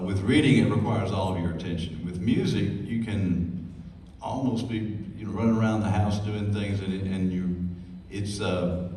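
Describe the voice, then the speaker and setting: A man hosting a live radio show, asking questions in a relaxed, conversational way. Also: low voice, a little hiss or hum. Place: stage before a live audience. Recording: very echoey hall, distant mic, faint ambience.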